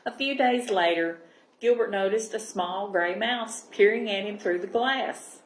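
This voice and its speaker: Female children's storyteller, unhurried and expressive, mid-range voice in a reverberant room. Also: medium pitch, slight background noise. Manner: expressive, pausing to check understanding with listeners. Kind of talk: storybook read-aloud for young children. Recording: roomy, echoing sound.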